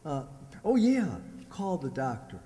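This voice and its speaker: An actor playing a sulky, jealous, self-pitying husband with a plaintive, halting delivery in radio comedy style. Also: male voice, a little high, big pitch swings, mellow. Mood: mopey, feigning illness. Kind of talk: old-time radio comedy acting, re-created. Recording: echoey hall, long reverb.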